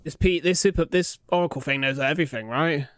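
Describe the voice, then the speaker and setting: A man's voice: a little high, brisk, a bit bright. A young man giving casual reaction commentary, musing aloud in an informal way as host of the video.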